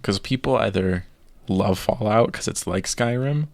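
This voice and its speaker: A man with a very low voice guiding a podcast chat at a measured pace. His tone is gravelly. The recording is dry and close. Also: dry recording. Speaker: podcast co-host.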